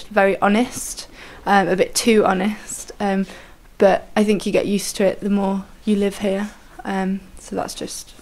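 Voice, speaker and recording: A woman answering interview questions thoughtfully, with frequent ums. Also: medium pitch. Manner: reflective, many ums. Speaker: student or lecturer interviewee.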